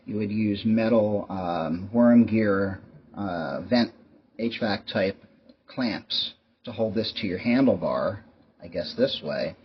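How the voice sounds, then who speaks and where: A man hosting a casual show-and-tell vlog, talking in a rambling, wry, resigned way. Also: fairly low pitch. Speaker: YouTube host. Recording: muffled lo-fi mic with clear background noise.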